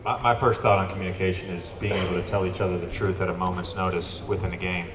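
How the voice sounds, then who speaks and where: Deep flat-voiced man in an echoey press room. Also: fast talker. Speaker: pro basketball player at media day. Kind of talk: press conference answers. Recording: echoey room, distant mic, crowd.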